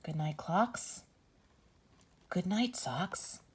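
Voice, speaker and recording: A woman reading a bedtime picture book aloud for young children as a host, with deliberate phrasing. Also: fairly low pitch, expressive, husky. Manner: slow, deliberate phrasing for small children, drops into whispers.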